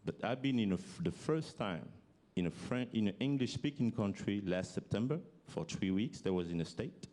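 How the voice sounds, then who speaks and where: A man with a French accent, giving a halting public speech and often restarting his sentences as he searches for words in English. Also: on the low side, lively intonation, mellow. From French-speaking African country. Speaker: forum speaker.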